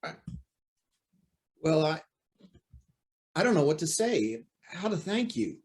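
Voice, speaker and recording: A man's voice: a little high, big pitch swings, slow, a little mellow, slightly rough, heavily acted. A man acting an earnest, anxious, honest judge in an old-time radio drama. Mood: grateful, flustered.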